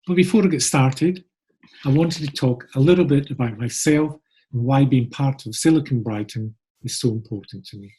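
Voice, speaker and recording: A middle-aged man with a Scottish accent presents in an upbeat, friendly way. His voice is warm and mellow with a slightly husky edge. It sounds like a pre-recorded conference talk. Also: mid-range voice, normal speed, rough recording. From Scotland. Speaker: agency co-founder presenting.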